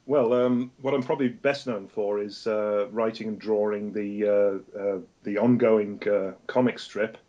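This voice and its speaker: An Englishman with a Lancashire accent talks through a dull, lo-fi line. His voice sits a little low, and he fills his answers with hesitant uh and um as he explains his comic work in a casual podcast chat. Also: steady tone, unhurried, few pauses, warm tone. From England. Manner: hesitant, many "uh" and "um" fillers. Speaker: guest, comic artist.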